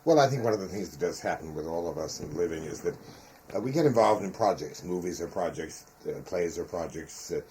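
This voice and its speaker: A man's voice: low voice, expressive, fairly quick, a little mellow. A man interviewing, speaking in a reflective, conversational way. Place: photographer's studio, indoors. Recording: faint room noise.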